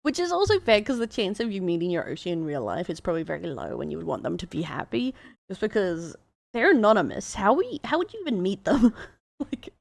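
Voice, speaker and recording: A woman's voice: medium pitch, sing-song, a little fast, gravelly, dry recording. A woman with an Australian accent, chatting casually as a VTuber reacting to fan posts, laughing often and amused. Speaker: VTuber commentator.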